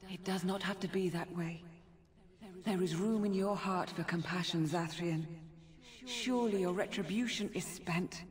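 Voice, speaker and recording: An actress playing a serene, ancient forest spirit, solemn and breathy, with measured formal speech in a fantasy game. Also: female voice, fairly low pitch, natural voice. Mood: calm, pleading. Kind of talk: fantasy video game acting. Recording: long ethereal reverb.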